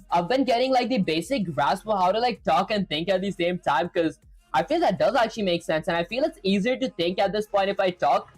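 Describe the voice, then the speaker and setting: A woman streaming herself programming, chatting casually and thinking out loud with lots of "like" fillers. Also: mid-range voice, poor audio. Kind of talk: casual live coding stream.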